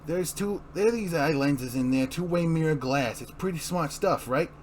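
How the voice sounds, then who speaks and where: A man's voice: fairly high pitch, normal speed, a little hiss or hum, close to an everyday voice. A man playing a casual, persuasive crook with a slangy, pushy way of talking in an amateur comic reading. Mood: reassuring. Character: casual, persuasive male crook recruiting an accomplice.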